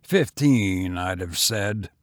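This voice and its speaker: Male reader as a weary gentleman tramp; low, hushed, dry, unhurried. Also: animated, no echo. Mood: grim. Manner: grim, subdued, clipped.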